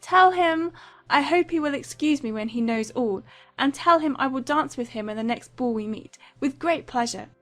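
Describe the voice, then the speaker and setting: A woman's voice: high-pitched, moderate pace, a bit bright, soft background music. A woman playing a giddy, thoughtless, lovestruck teenage girl, breezy and gleeful, in a dramatized period audiobook.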